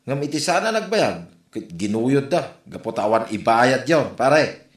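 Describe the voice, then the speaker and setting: A man's voice: mid-range voice, big pitch swings, unhurried, roomy sound. A man playing a griping, indignant buddy in an Ilocano Filipino comedy audio drama, speaking emphatically.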